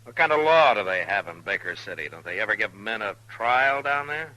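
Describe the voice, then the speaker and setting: A stern frontier marshal in a 1950s radio western. The voice is very deep and cuts through with great force. He speaks plainly and with firm authority. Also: lively intonation, brisk, sharp tone, poor audio. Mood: stern warning.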